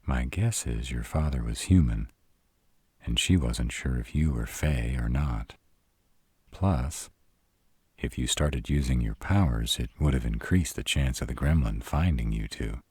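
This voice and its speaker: A man playing a protective, tender were-dragon lover in an intimate audio roleplay, with a husky voice and a slow, comforting murmur. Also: darkish tone, dry recording, studio quality, full character voice. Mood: gentle, explaining. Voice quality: very deep, husky.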